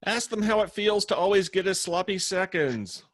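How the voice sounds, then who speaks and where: A man's voice: high voice, animated, moderate pace, dry recording. A man playing a sardonic, blunt young roommate in a comedy table read. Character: dry, sarcastic young male roommate, bluntly supportive.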